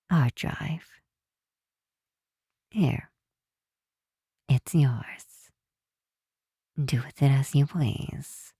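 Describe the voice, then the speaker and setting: A woman playing a possessive supervillain who is softly menacing yet tender, in an intimate ASMR roleplay with a hushed, teasing delivery. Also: low-pitched, no room sound, clean studio sound, exaggerated voice. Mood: calm, earnest. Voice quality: breathy, hushed. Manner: unhurried, teasing, theatrical.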